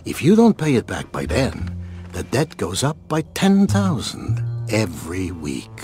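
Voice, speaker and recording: Shrewd, animated older loan shark. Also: male voice, on the low side, soft background music. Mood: quietly menacing. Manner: measured, falsely courteous, threatening. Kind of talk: video game cutscene acting.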